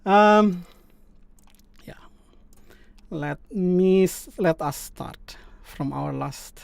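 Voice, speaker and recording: Indonesian guy hosting a coding stream, kinda high voice, lots of ums and restarts. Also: vocal fry, no room sound. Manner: halting, many fillers and restarts. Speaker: live stream host. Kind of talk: casual coding stream chat.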